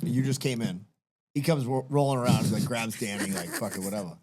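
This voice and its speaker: A man's voice: medium pitch, fairly even intonation, brisk, darkish tone, slight background noise. A man co-hosting a podcast in casual chat, emphatic and swearing a lot, with many "like"s. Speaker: podcast co-host.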